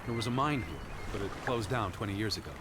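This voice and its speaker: A man's voice: on the low side, animated, quick pace, background noise, close to an everyday voice. A man voicing an earnest, humorless 1920s scholar in a video game, speaking in a stiff, precise manner. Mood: earnest, pondering.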